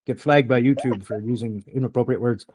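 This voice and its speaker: A man's voice: medium pitch, fairly even intonation, a little fast, crowd noise. A man hosting a podcast in casual conversation, rambling and swearing often, with a slight rural twang. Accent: slight rural Canadian twang. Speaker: podcast host.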